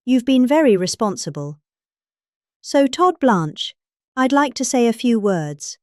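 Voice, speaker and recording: Middle aged british lady reading out a statement, really animated pitch, dry close sound. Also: measured pace, no room sound. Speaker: reader of a political statement. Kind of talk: dramatized reading of a spoken statement.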